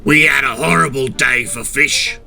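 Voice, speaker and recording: A man's voice: on the high side, animated, normal speed, gravelly, sharp tone, voice pushed up, heavily acted. A man voicing a sour old fishing trawler in a children's story, grouching and sneering as he complains, with music behind. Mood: grumbling. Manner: grouching, snapping, scornful complaining.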